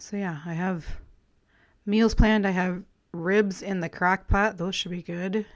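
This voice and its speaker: Raspy-voiced woman chatting casually. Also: mid-range voice, unhurried. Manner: relaxed, rambling, friendly, with light laughs. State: slightly raspy, clearing her throat after illness. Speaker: crafting vlogger. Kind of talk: casual chatty voice-over while collaging.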